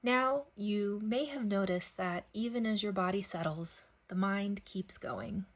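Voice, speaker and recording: A woman leading a guided sleep meditation, speaking gently in unhurried phrases with long pauses. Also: medium pitch.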